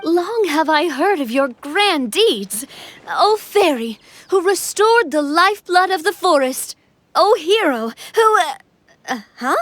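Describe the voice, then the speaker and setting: A woman's voice: extremely high pitch, lively intonation, slow pace, a bit bright, no room sound, full character voice. A woman voicing a cheerful, confident young leader in an anime game, breezy, theatrical and upbeat. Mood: regal, grandiose.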